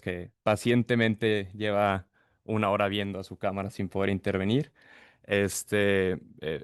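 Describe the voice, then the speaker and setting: A man's voice: lowish voice, clear, resonant tone, dry recording, studio quality. A man moderating an event, giving a semi-formal spoken introduction of a guest speaker.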